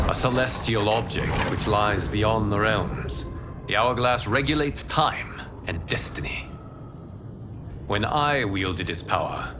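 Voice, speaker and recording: An actor playing a calm, wise male demigod in a video game cutscene, speaking in a measured, solemn way. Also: on the low side, background noise. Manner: measured, solemn, soft-spoken. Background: orchestral game score.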